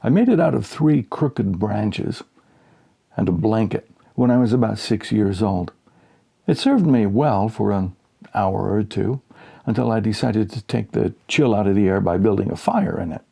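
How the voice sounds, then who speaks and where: A man narrating a humorous short story as an audiobook, with dry, measured storytelling. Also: fairly low pitch, lively intonation, creaky, warm tone, husky.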